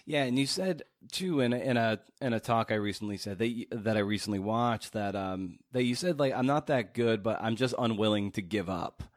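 An American man hosting a podcast interview, asking long questions in halting, searching phrases. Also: lowish voice, dry recording, clean studio sound.

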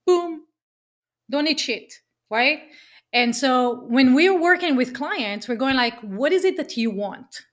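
A woman with an Israeli accent, a podcast guest talking business in a lively, emphatic way, often tagging lines with "right?". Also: sits high, slow pace. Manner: energetic, emphatic, keeps asking "right?", swears casually. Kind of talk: casual interview chat.

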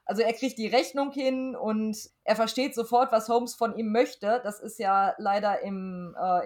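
A woman co-hosting a casual podcast review, talking in a colloquial, blunt and opinionated way. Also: medium pitch. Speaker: podcast co-host discussing an audio drama.

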